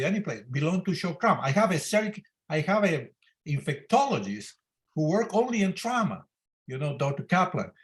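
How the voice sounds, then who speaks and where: An elderly man, a senior surgeon, commenting informally at a medical discussion in loosely built non-native English. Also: medium pitch. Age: 70s to 80.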